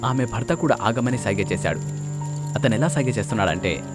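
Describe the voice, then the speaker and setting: A man's voice: mid-range voice, animated, fairly quick. A man narrating a scripted horror story as a storyteller. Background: loud music, rain and storm effects.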